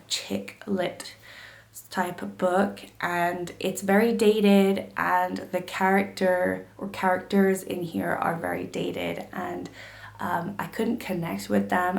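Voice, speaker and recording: A woman book vlogger getting over a cold, her throat gravelly, chatting casually to camera with lots of fillers and restarts. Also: on the low side, echoey room. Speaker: book YouTuber.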